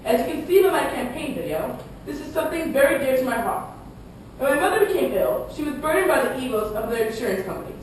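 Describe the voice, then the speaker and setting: A woman's voice: sing-song, fast talker. Woman doing a confident president in a puppet debate, far from mic, super echoey. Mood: earnest. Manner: declarative campaign speechmaking. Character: male US president, confident candidate defending his record. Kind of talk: scripted student puppet show debate. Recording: echoey room, distant mic, rumbling noise.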